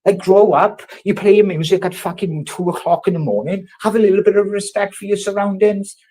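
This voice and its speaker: A man's voice: high-pitched, steady tone, fairly quick. A man in his thirties, from South Wales, ranting angrily to camera with constant swearing. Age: 30s. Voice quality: swears heavily, loud and confrontational. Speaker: vlogger. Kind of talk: angry rant to camera.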